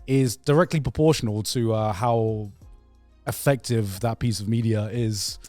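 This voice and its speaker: A man co-hosting a casual gaming podcast, arguing in an animated way and repeating phrases as he pushes his point. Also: fairly low pitch, measured pace, no echo, faint music behind. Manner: animated, argumentative, repeats phrases to hold the floor. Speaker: podcast co-host. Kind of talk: casual podcast debate about video games.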